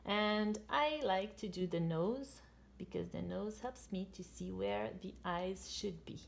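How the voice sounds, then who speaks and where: A woman's voice: on the low side, vocal fry, a little hiss or hum. A woman in her thirties, a children's author and illustrator, explaining her drawing in simple, short phrases as if for young children. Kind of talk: storytime and drawing demonstration for children.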